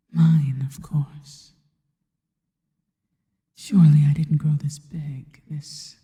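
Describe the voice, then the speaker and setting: A seductive spider woman, acted by a woman whispers intimately in an ASMR roleplay. Her breathy voice is very dark and unhurried, devoted and deliberate. It trails off into long, hall-like reverb. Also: low voice. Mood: adoring, sultry. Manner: slow, intimate, deliberate phrasing.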